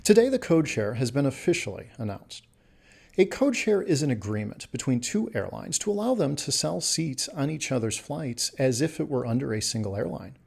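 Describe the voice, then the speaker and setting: Male travel news presenter, husky and creaky voice, scripted delivery. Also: mid-range voice, sing-song, normal speed.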